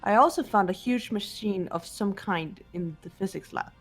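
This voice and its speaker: A woman's voice: medium pitch, normal speed, faint music behind, close to an everyday voice. A woman voicing a composed teenage girl who reports matter-of-factly in a mystery game.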